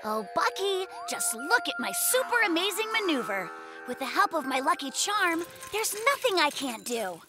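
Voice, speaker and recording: A performer voicing an excitable, boastful young bear cub in a children's cartoon, with a theatrical showman's delivery and music playing behind. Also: very high voice, normal speed, heavily acted. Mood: bragging, eager. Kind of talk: animated children's cartoon dialogue.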